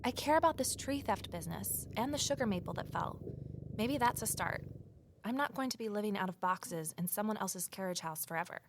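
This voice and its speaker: Actress doing a young woman sleuth in an audio drama, self-doubting, wry, chatty natural delivery, medium pitch. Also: animated, a little fast, background noise, close to an everyday voice. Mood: rueful, self-mocking. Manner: wry, self-deprecating, conversational. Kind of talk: naturalistic audio drama acting and first-person narration.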